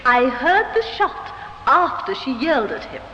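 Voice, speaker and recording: A prim, disapproving neighbor who loves gossip in an old radio drama. She is self-important, with a high and animated voice. The recording is dull and faintly noisy. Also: unhurried, full character voice. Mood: insistent. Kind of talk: 1950s radio drama acting.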